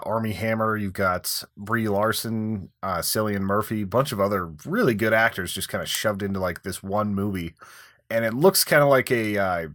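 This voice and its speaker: A man co-hosting a casual podcast, relaxed, with short replies and easy laughter. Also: lowish voice, no echo, studio quality. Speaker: podcast co-host. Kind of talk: casual chat.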